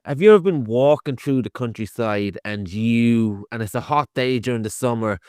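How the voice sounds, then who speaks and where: A man's voice: mid-range voice, moderate pace, darkish tone, clear, resonant tone, no room sound, studio quality. A man with an Irish accent, a podcast co-host giving a casual, conversational film review and thinking aloud as he goes. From Ireland.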